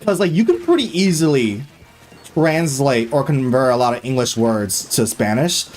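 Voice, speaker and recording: A young man chatting casually on a live gaming stream, excitable and loose, full of fillers and slang, swearing freely. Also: mid-range voice, moderate pace.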